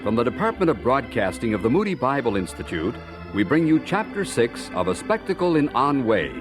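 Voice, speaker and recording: An American man narrating a radio drama in a formal, measured, solemn announcer's style. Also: mid-range voice, very animated. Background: organ music.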